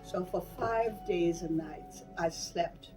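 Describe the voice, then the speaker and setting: A woman's voice: fairly low pitch, slow, music in the background, poor audio. An older woman from Burma giving a personal reminiscence in a plain-spoken, emphatic way. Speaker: interviewee recalling wartime life.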